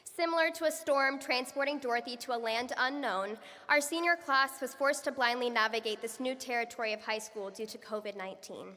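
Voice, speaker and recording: A young woman, a student, giving a graduation speech in an earnest, measured way. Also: a little high, bright, forceful tone. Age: young adult. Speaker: student speaker. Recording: echoey hall from far off.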